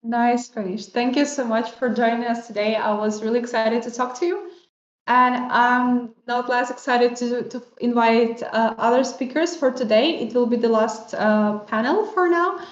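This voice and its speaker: Woman host for a stream panel, fairly high voice, halting non-native phrasing, roomy muffled video-call sound. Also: steady tone. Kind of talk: livestream panel hosting. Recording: roomy, dull lo-fi stream audio.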